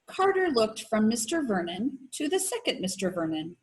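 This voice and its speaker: Animated woman reading a children's story aloud. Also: fairly high pitch, moderate pace, rough recording. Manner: animated storytelling with voices for characters. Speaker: teacher reading aloud to elementary students. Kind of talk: expressive read-aloud of a children's novel.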